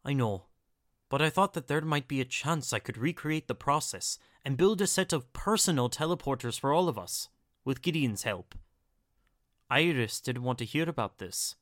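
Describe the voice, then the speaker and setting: A woman playing an excitable, shrunken shapeshifter character in an audio drama. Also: very deep voice, normal speed, dry recording, clean studio sound. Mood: distressed.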